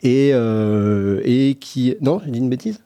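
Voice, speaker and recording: A man discussing films casually as a radio co-host, in halting, rambling explanations full of self-corrections. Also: mid-range voice, mellow, clean tone. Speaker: radio co-host and film reviewer. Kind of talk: casual unscripted film discussion. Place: radio studio.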